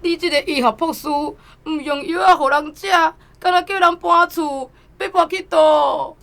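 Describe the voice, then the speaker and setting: A woman's voice: sits high, normal speed, vocal fry, fairly bright tone. An actress in a radio drama playing an anxious, indignant rural mother who presses a doctor for answers. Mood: scornful.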